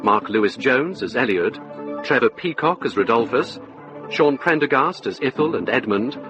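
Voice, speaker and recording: A man announces a radio drama in a measured, scripted read. His pitch is mid-range, and music plays clearly behind him. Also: lively intonation.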